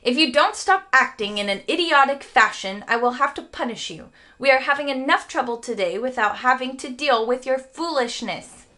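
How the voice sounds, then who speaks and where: A woman's voice: sits high, expressive, normal speed, hardly any pauses, bright, forceful tone. A woman voicing a stern, easily exasperated father in a storybook, with a formal, commanding manner. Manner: commanding, formal, bellowing and growling.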